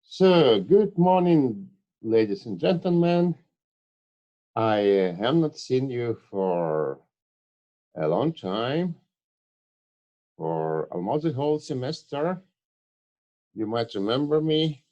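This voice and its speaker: A man giving an online university lecture to students in a halting, hesitant way, with dull, muffled lo-fi audio. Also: medium pitch, sing-song, mellow. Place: online screen-share session.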